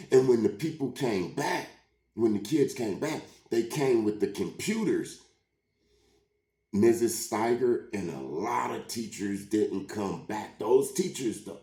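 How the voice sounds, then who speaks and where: A man's voice: fairly low pitch, a little mellow, reverberant. A man with a sore throat from a cold, giving an emphatic, preachy monologue and often repeating himself. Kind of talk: casual rant to camera.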